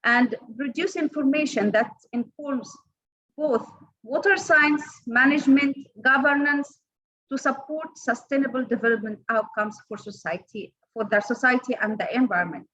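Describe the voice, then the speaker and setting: A woman with an Egyptian Arabic accent giving an online training lecture, halting, with many fillers and restarts. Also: sits high, sharp tone, highs cut off. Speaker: workshop trainer. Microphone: online call audio.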